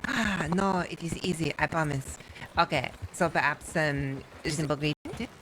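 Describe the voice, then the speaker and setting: Woman playing a lady, formal, patient, measured speech, noisy background. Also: sits low, natural voice. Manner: formal, measured, sprinkles French words. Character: polite French-speaking woman, formal and patient.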